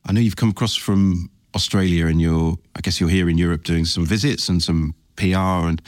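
A man's voice: sits low, steady tone, fairly quick, no room sound, clean studio sound. A male host asking friendly, curious questions in an interview, often restarting his sentences. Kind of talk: conversational interview.

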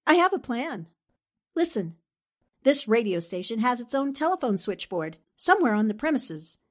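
A woman acts out a determined lady reporter from a 1940s radio mystery. Her voice sits fairly high and moves with lively swings. She is brisk, sharp and commanding. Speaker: actor in an amateur radio drama re-creation.

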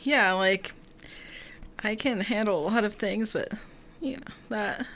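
A woman of medium pitch makes casual remarks on a film podcast. There is light room noise behind her. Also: a little slow, fairly bright tone. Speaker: podcast co-host. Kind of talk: casual chat. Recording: faint background room noise.

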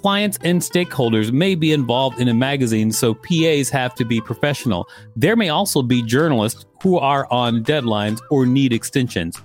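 A man co-hosting a podcast, reading an article aloud with dry, joking asides. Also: mid-range voice. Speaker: podcast co-host. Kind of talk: reading an encyclopedia article aloud with casual banter.

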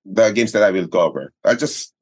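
Low-voiced Filipino man, explaining conversationally. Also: animated, normal speed. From Philippines. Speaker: sports radio play-by-play commentator and arena announcer. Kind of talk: conversational interview, mixing English and Tagalog.

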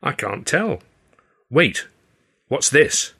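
A man's voice: mid-range voice, very animated, very slow, pushed and bright, husky, voice pushed up, exaggerated voice. A man voicing a prosperous, confident male theatrical agent in an audiobook, with a hearty manner. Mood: puzzled. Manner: hearty, self-assured.

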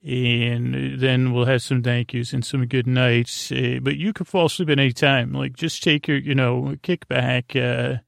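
A middle-aged man speaks at a medium pitch with a noticeably creaky, fry-laden voice. He delivers a casual bedtime podcast monologue, wandering and doubling back on his thoughts, often leaving them unfinished. The sound is close and dry, with very little room in it. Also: steady tone, dry recording. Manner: halting, meandering, frequently backtracking and trailing off mid-thought. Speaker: podcast host.

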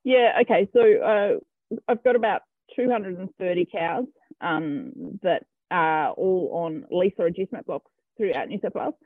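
This Australian man talks in a fairly high voice for a man, pausing often with ums and restarting his sentences as he explains his farm. His voice comes through a thin, phone-like line that sounds dry, with no room around it. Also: dry recording. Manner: hesitant, full of ums and restarts. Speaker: cattle farmer guest. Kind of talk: casual interview answers.

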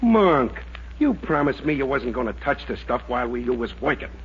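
An actor playing a jittery small-time crook sidekick, slangy and grumbling, in 1940s radio comedy style. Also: male voice, medium pitch, very animated, normal speed, lo-fi sound, lightly acted. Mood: nervous, reproachful. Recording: band-limited, faintly noisy 1940s radio recording.

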